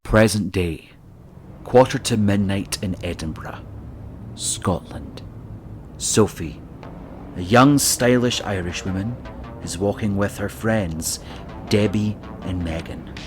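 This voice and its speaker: A man's voice: on the low side, slow pace, long pauses. A man narrating an audio drama, reading out scene directions in a scripted style. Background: music.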